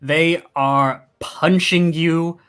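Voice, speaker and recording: A man's voice: on the high side, fairly even intonation, extremely slow, fairly bright tone, clear, resonant tone. A man narrating combat as game master of a tabletop roleplaying game, speaking casually. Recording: background music under the voice. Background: music.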